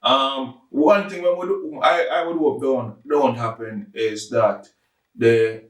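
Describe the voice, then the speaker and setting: An echoey room surrounds this man's Jamaican-accented voice, set some way from the mic. He speaks at an average male pitch in loose, casual podcast talk. He leans on repeated words to build his points with emphasis. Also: slow pace, recorded from a distance. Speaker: podcast host. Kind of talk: casual discussion and storytelling.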